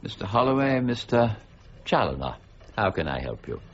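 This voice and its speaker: Irascible older gentleman scholar, deep, curt, animated delivery, slightly dull radio sound. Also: normal speed, slight background noise. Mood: brisk, curt. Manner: curt, pompous, impatient. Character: irascible, proud older scholar and mint warden. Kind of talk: period radio drama acting.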